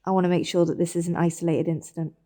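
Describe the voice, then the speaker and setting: A woman's voice: on the low side, flat delivery, very fast, dark tone, lightly acted. An actress playing an anxious, insistent British woman in a naturalistic audio drama. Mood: worried, firm. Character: anxious, insistent woman questioning her relatives in hospital.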